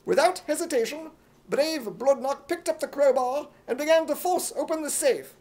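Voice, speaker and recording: A man's voice: extremely high pitch, normal speed, a little mellow. American guy reading comedy narration solo, putting on a british accent, radio show style. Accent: imitation British. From United States. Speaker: solo performer narrating a script.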